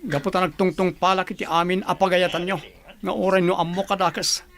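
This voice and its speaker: A man acting an earnest, modest provincial governor and fond father in a radio drama, speaking in a measured, sincere way. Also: sits high, flat delivery, gravelly, fairly bright tone. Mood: pleading, earnest.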